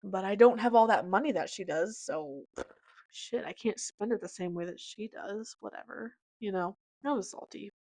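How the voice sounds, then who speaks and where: A woman's voice: mid-range voice, normal speed, warm tone, no room sound. A woman in her late twenties giving a chatty, casual personal update as a podcast host, hopping between topics with slang and asides. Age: 20s.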